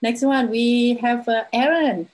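A woman's voice: fairly high pitch, a little mellow. A woman hosting an online meetup, calling on attendees one by one for introductions over a video call. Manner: unhurried, calls names one by one. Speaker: meeting host.

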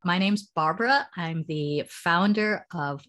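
Middle-aged American businesswoman, lower register, measured, self-correcting interview guest. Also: fairly bright tone, faint music behind. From United States. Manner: thoughtful, with many ums and restarts. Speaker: startup founder, guest. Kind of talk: conversational interview answers.

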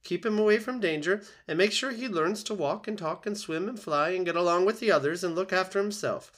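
A man's voice: high-pitched, brisk, a bit bright, voice pushed up. A man voicing a bossy old female goose in a storybook, squawking, blunt and scolding with heavy emphasis. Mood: brisk, advising.

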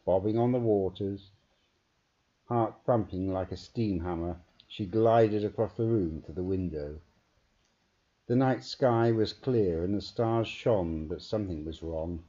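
Very dark, husky male story narrator. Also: low voice. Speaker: author reading own story. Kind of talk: dramatic audiobook narration. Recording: dull, muffled narrow-band recording.

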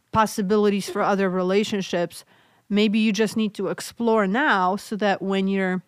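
A woman with a Polish accent talks frankly on a podcast, her voice smooth and clear. She jokes and thinks aloud, restarting sentences as she works through an answer. The sound is dry and close. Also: mid-range voice, dry recording. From Poland. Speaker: podcast co-host, mental health nurse practitioner. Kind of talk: casual podcast chat.

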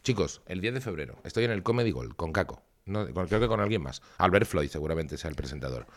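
A young man from Andalusia, co-hosting a casual comedy podcast with a playful, deadpan manner and sudden loud bursts. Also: deep voice, quick pace. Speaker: podcast co-host.

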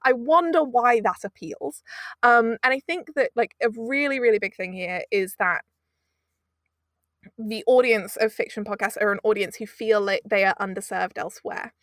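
A woman's voice: on the high side, normal speed, fairly bright tone, dry recording, studio quality. A woman, a guest producer in a conversational interview, making her points emphatically with rhetorical asides. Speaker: audio drama producer, guest.

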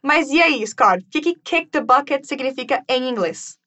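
A Brazilian woman leads a relaxed language chat, chatty and lively and often laughing. Her voice sits high for a woman. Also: moderate pace. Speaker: English teacher, host. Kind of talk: casual bilingual chat.

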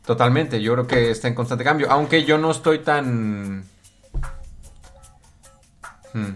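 A co-host on a casual talk show with a mid-pitched voice, joking and tossing out quick questions while thinking aloud. Faint music runs under the talk. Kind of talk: casual radio chat.

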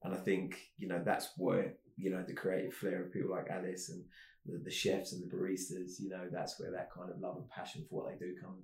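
A man's voice: low voice, monotone, brisk, a little mellow. A man, a food director and guest, chatting casually on a podcast, rambling with lots of fillers. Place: office. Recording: roomy echo.

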